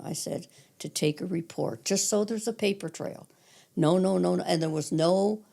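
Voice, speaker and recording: A hoarse older woman from Cape Breton, her raspy voice full of gravel and sitting a touch low. She recalls names and details from decades back in a steady, matter-of-fact way, like a retired dispatcher sharing stories with family. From Cape Breton Island, Canada. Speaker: guest, retired 911 dispatcher. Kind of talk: casual storytelling interview.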